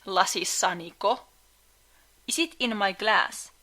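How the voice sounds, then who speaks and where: A native Finnish-speaking woman giving a scripted language lesson, speaking carefully and slowing down for example words. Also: mid-range voice, sharp tone. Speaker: language teacher.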